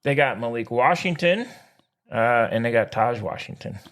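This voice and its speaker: A man's voice: medium pitch, expressive, normal speed. A man co-hosting a sports podcast, chatting casually in a folksy, jokey way with slangy exclamations. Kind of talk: casual sports chat, joking banter.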